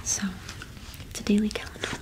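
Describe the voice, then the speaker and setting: A young woman whispering in a breathy, gentle, unhurried way, as in an ASMR roleplay. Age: young adult. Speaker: ASMR host playing a shopkeeper.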